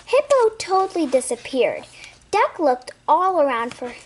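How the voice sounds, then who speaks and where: Child storyteller, very high voice, slow expressive read-aloud delivery, faint noise behind. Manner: expressive, with repeated words and sound effects. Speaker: storybook reader. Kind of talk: read-aloud storytelling with asides to young listeners.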